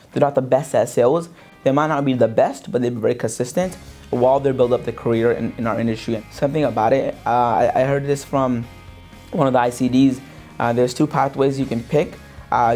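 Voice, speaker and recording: A young man from Pakistan, a sales business owner, giving a relaxed interview testimonial with many fillers and restarts. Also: medium pitch. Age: young adult. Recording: faint guitar music underneath.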